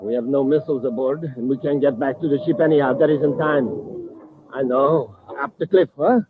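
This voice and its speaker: Brief: male actor as ruthless space villain boss, medium pitch, old radio adventure, dull noisy sound. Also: moderate pace, lightly acted. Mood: scheming. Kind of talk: 1950s radio adventure acting.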